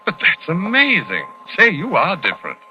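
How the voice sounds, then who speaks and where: An actor playing an ardent, self-assured Scotland Yard detective in 1940s radio suspense style, theatrical and stammering when flustered, on a vintage recording. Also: male voice, fairly high pitch, wildly swooping pitch, normal speed, sharp tone, lo-fi sound, faint music behind, heavily acted. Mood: flustered, amazed.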